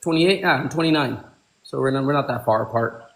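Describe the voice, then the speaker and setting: Chatty host, bro-talk, echoey room. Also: male voice, mid-range voice, brisk, creaky, fairly bright tone. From Pakistan. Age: 40s. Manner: chatty, calls people bro and my man. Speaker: livestream host. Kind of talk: casual livestream chat. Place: room at home.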